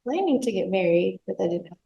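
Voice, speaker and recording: A woman's voice: medium pitch, expressive, fast, gravelly, slightly rough, highs cut off. A woman in her twenties giving reticent, short answers as a defendant in court. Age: 20s. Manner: reticent, terse.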